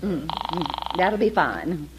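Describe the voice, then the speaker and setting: A woman's voice: sits low, expressive, slow. A woman playing a chatty, scatterbrained clerk in 1940s American radio comedy, gushing, giggly and rambling. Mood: helpful. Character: chatty, scatterbrained, flighty young female office clerk. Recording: dull, noisy old radio recording.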